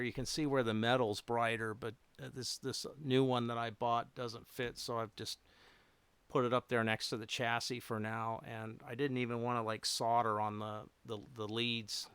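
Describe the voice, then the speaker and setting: Clear-voiced man, halting casual chat. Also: medium pitch, fairly bright tone, dry recording. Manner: halting, rambling, thinking aloud. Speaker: radio repair hobbyist hosting a livestream. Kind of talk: casual unscripted livestream chat.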